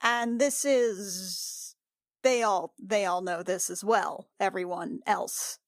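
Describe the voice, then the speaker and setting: A woman's voice: medium pitch, slow pace, dry recording. Close, dry-sounding woman roleplaying casually. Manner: conversational, informal. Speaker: player in a tabletop game.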